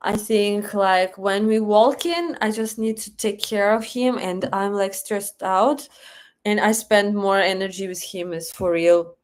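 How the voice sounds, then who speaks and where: A woman's voice: medium pitch, a little slow. A young woman with a Russian accent hosting a live stream, chatting casually, reading chat questions aloud and thanking viewers for donations. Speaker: livestream host.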